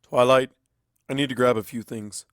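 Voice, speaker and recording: Casting: tender, awkward male pony wizard for story audio, done by a man, mid-pitched, informal, dry sound. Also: steady tone, clean tone, dry recording, natural voice. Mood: businesslike. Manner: casual, halting, tender.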